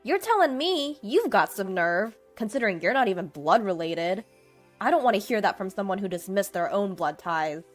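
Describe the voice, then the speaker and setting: A woman's voice: medium pitch, big pitch swings, moderate pace, soft background music, lightly acted. A woman voicing a brave, indignant daughter-in-law standing up for herself in a story drama. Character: brave, indignant woman defending mother-in-law's inheritance.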